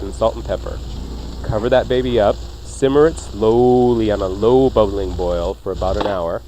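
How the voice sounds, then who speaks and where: A man's voice: fairly low pitch, mellow, slight background noise. A man hosting a radio cooking show, chatting casually and playfully as he jokes through recipes. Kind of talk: casual, jokey recipe banter.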